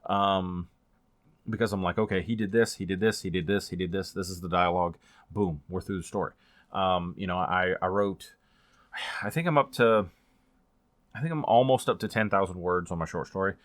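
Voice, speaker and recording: A man with a fairly low voice chats informally as a book reviewer. He rambles along conversationally and grows animated when he stresses a point. The sound is very dry, with hardly any room in it. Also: normal speed, no room sound. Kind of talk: casual chatty book vlog.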